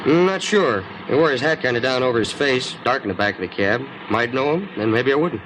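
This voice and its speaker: Male actor as working-class cabbie, American, medium pitch, hurried, chatty, inquisitive, colloquial, old radio drama. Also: animated, fairly bright tone, natural voice, poor audio. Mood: obliging, unsure. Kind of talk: 1950s radio drama character acting. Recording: old radio recording, faint background noise.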